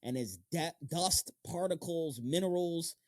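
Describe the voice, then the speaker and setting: A man's voice: a little high, lively intonation, unhurried, no room sound, studio quality. A man hosting a podcast, chatting casually and slangily with plenty of loose swearing. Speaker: podcast host. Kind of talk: casual, animated podcast chat.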